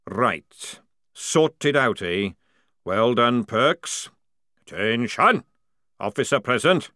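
A man voicing a gruff, bossy army sergeant who barks orders loudly. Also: mid-range voice, animated, takes it slowly, no room sound, studio quality. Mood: commanding. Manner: loud barking orders.

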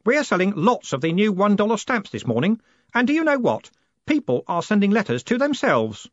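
A man voicing an earnest, excitable and polite boy enthusiast in an audiobook. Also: high-pitched, a little fast, no echo, highs cut off, voice pushed up. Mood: eager.

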